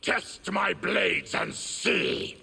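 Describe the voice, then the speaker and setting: An actor playing a bitter, diseased, monstrous former soldier in a fighting game, growling with grim menace. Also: male voice, high voice, drawn-out words, sharp tone, slight background noise, heavily acted. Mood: menacing. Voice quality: gravelly, growling. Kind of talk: video game fight intro dialogue.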